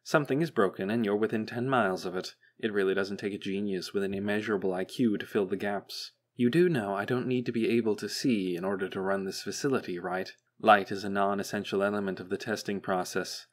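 A man voicing a paranoid, coldly sarcastic female machine overseer in an audiobook, sharp and condescending. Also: on the low side, steady tone, fairly quick, few pauses, natural voice. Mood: cold menace.

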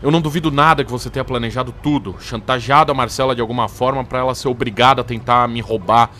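A man's voice: mid-range voice, steady tone, quick pace, sharp tone, a little hiss or hum, lightly acted. Man acting an agitated, hot-tempered programmer. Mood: bitter, accusing. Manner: agitated, sweary, stammering. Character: hot-tempered, accusing male programmer, distraught and cornered. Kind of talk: scripted audio drama acting.

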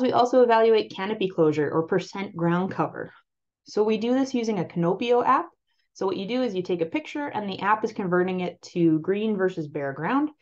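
A woman with a Canadian accent presenting on-farm crop trial results over a slide presentation, explaining them clearly and steadily. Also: medium pitch, a little mellow. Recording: slightly muffled voice-over.

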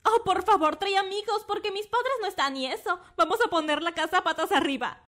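A woman's voice: extremely high pitch, a little fast, fairly bright tone. A woman voicing a perky, eager teenage schoolgirl with a gushing manner and a Latin American Spanish accent in a dubbed drama.

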